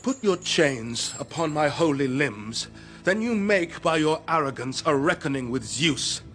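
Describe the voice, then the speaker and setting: An actor playing a proud, charismatic young god in a classical radio drama, speaking in a solemn, measured, incantatory way. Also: male voice, a little high, lively intonation, a bit bright, soft background music. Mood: defiant, prophetic.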